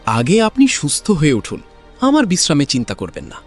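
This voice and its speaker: Man as a high, husky young servant. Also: wildly swooping pitch, a little fast, faint music behind. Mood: concerned. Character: caring, earnest poor young servant. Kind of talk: cartoon story voice acting.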